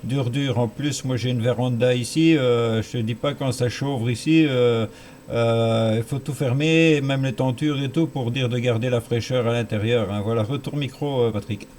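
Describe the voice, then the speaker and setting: Faint background noise, man, Belgian French accent, medium pitch, casual radio operator talk, repeated phrases. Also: steady tone, normal speed, a little mellow. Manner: repeats words and phrases for emphasis. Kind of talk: casual two-way radio chat.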